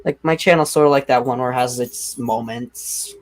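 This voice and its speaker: A young man with a deep voice chats loosely on his own livestream. He rambles from thought to thought and leans on "like" constantly, sounding unscripted and offhand. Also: normal speed. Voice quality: self-described deep voice. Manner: rambling, heavy use of "like". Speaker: livestream host. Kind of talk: casual livestream chat.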